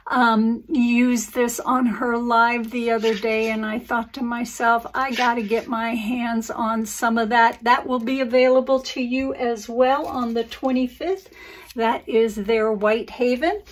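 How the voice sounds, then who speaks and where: Slow, gushing woman painter. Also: a little high, steady tone, distant mic, a little hiss or hum. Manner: gushing and enthusiastic, calls viewers guys. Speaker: acrylic pour artist and channel host. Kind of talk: casual craft tutorial chat to viewers. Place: indoor studio, over a painting table.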